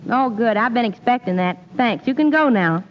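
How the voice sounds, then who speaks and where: An actress playing a gullible, excitable, folksy woman in old-time radio comedy, full of exclamations. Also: on the high side, moderate pace, close to an everyday voice. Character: scatterbrained, excitable comic lady, folksy and gullible. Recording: noisy, muffled old radio recording.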